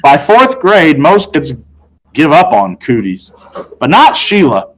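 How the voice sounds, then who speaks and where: A man reading a children's novel aloud in an expressive, conversational way, telling it as a boy in the first person. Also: a little high, takes it slowly, low-quality recording. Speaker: storybook reader.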